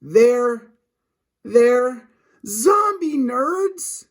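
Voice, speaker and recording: A man's voice: very high-pitched, sing-song, drawn-out words, voice pushed up, exaggerated voice. A man voicing a timid, wide-eyed schoolboy sidekick in a storybook, with a questioning, whiny manner. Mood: puzzled, alarmed.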